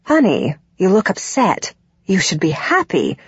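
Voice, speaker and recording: A woman voicing a condescending, controlling middle-aged mother in an audiobook, with a husky voice. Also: mid-range voice, sing-song, a little slow, no echo, highs cut off, full character voice. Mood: falsely sweet. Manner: haughty, disapproving, condescending.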